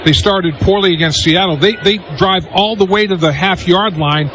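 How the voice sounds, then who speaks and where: A man works as a football color analyst on live radio, talking conversationally and repeating himself for emphasis. His voice is fairly high, over clear background noise. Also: normal speed. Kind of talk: live radio sports commentary. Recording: noisy live broadcast.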